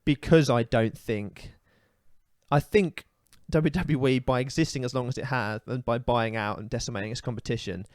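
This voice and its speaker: A man in casual podcast chat, holding forth with firm opinions and plenty of "um" and "you know". His voice sits at an ordinary middle pitch. It is recorded close and dry, with no room sound. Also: moderate pace, dry recording. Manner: opinionated, ranting, many fillers. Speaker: podcast co-host.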